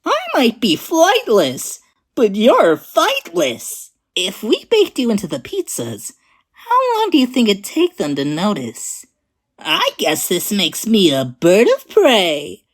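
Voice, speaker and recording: Wildly expressive sassy bird robot. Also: female voice, mid-range voice, slow, exaggerated voice. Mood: sly, teasing. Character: sassy, clumsy, menacing bird animatronic. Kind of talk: video game character voice lines.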